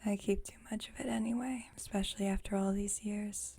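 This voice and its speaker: A woman playing a gentle, wry, nostalgic vampire roommate in an ASMR roleplay, in a soft, breathy whisper and an unhurried manner. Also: medium pitch, fairly even intonation. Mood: fond, gentle.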